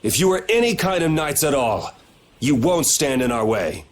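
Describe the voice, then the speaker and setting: A man's voice: fairly high pitch, expressive, normal speed, natural voice. A man voicing a blunt, resolute young swordsman in a video game, with music behind him. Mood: firm, resolute.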